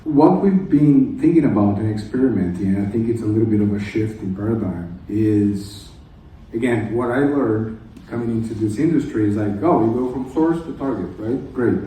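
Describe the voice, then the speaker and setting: Casting: male conference panelist, native Portuguese speaker, informal and halting, very mellow tone, distant mic. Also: on the low side, heavy reverb, slight background noise. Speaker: conference panelist, translation technology professional. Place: indoor conference room.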